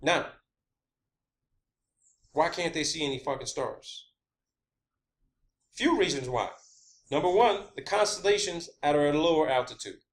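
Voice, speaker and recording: High-pitched man, emphatic and profane. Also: lively intonation, measured pace, pauses often. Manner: emphatic, profane, repeats phrases. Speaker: online video host. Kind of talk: informal rambling science-theory monologue.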